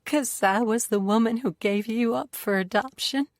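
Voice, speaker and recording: A woman voicing a blunt, folksy Southern woman with an Alabama drawl in an audiobook, speaking plainly in rough country grammar. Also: on the high side, normal speed, no room sound, studio quality, pitched above the performer's own voice, lightly acted. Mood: guarded. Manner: folksy ungrammatical speech, plain-spoken. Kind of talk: audiobook character voice.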